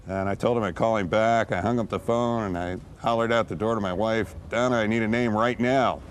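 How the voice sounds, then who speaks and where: Rambling low-voiced man, documentary interview. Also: fairly even intonation, fairly quick, a little hiss or hum. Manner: rambling, restarts mid-sentence. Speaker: gallery founder.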